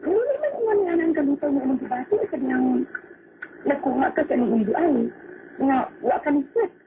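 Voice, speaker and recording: A wronged wife in an emotional radio drama. Her voice is extremely high and swings widely as she confronts her husband tearfully. The broadcast recording is clearly noisy. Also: animated, a little fast. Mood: upset questioning. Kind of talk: Philippine radio drama acting in Cebuano.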